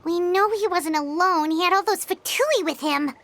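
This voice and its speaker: A woman's voice: very high-pitched, normal speed, fairly bright tone, a little hiss or hum. A woman voicing a tiny, chatty, childlike fairy companion in a video game, with a chirpy, exclamatory delivery. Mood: puzzled. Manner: speaks of herself in third person, exclamatory, chirpy. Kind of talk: animated game voice acting. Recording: game music behind the voice.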